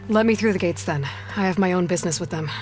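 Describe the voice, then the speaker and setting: A woman's voice: fairly low pitch, fast talker, a little mellow, close to an everyday voice, poor audio. A woman playing a blunt, wry, determined young warrior heroine in a video game, dry and no-nonsense. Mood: impatient, businesslike. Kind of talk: video game character acting.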